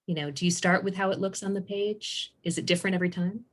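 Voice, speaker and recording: A woman's voice: medium pitch, fairly even intonation, quick pace. A woman moderating an online panel, asking conversational interview questions over a video call. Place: home room, indoors, on a video call. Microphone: computer video-call mic.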